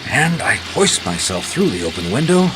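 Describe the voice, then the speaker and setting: An actor playing a wry, resourceful private detective in a noir radio drama, with a colloquial, hard-boiled way of talking. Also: male voice, fairly low pitch, very animated, normal speed, music in the background, low-quality recording. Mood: intent narrating. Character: wry, resourceful male private detective narrating his case. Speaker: lead actor and narrator. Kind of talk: noir radio drama acting and first-person narration.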